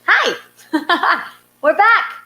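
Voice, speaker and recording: A woman's voice: extremely high pitch, expressive, very slow, pushed and bright. A woman hosting a casual live online show in a playful, slightly hesitant way. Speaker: host.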